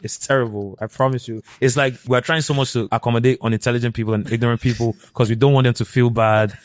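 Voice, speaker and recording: Man, podcast guest, rapid animated rant, dry close mic. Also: mid-range voice, dry recording. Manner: fast, animated, laughing ranting. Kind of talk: casual podcast chat.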